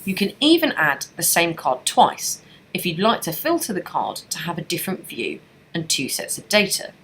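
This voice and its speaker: A woman narrating a scripted software tutorial as a trainer, explaining things step by step in an instructional way. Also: fairly low pitch, huge pitch swings, normal speed, slight background noise.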